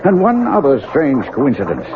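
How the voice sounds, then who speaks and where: Weary folksy doctor, tinny old radio. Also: male voice, medium pitch, huge pitch swings, normal speed. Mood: troubled. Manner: folksy, musing, hesitant. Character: weary, troubled, folksy aging male small-town doctor and friend. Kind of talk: 1970s radio mystery drama acting. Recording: noisy old radio broadcast, narrow band.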